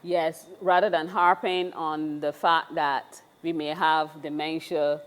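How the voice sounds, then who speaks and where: A woman's voice: deep voice, little pitch movement, slow, clean tone. A woman with a Barbadian accent hosting a casual interview, recorded with a long, hall-like reverb. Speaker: interviewer, host.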